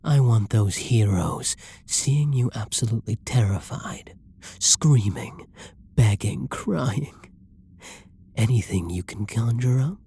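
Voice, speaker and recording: A flirty, domineering villain played by an actor in an ASMR audio drama. The voice is mellow and husky with a heavy creak, teasing and possessive toward a hostage. It often sinks into a menacing whisper. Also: mid-range voice, expressive, slow, heavily acted. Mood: teasing, commanding.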